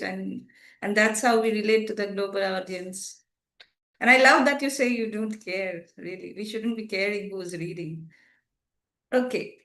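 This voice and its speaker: A woman's voice: mid-range voice, roomy sound. A woman moderating a writers' panel, agreeing with a speaker and introducing the next one. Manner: warm agreement, then formal introductions.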